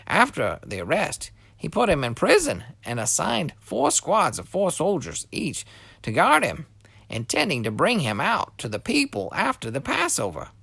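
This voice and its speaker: Man, children's Bible narrator, expressive scripted reading, creaky voice, dry close sound. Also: a little high, normal speed, no echo.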